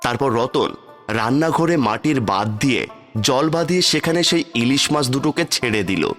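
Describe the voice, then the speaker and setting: A man's voice: mid-range voice, expressive, a little fast. Man narrating a fairy tale over music.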